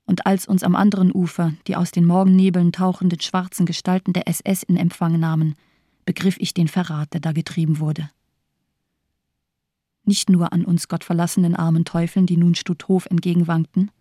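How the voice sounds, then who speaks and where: A woman's voice: on the low side, level tone, normal speed, mellow, no echo, lightly acted. Actress doing bitter disillusioned woman, cold sardonic radio drama voice, darkish, very dry sound. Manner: cold, lifeless, sardonic delivery. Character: embittered, disillusioned woman, former communist and camp survivor.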